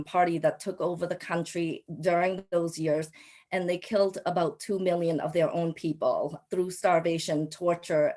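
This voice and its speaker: A woman in her forties from Cambodia, telling her personal story as a panelist in a halting way, often pausing and repeating phrases. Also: on the low side, darkish tone. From Cambodia, raised in a Thai refugee camp and the US. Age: 40s. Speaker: panelist, local elected official.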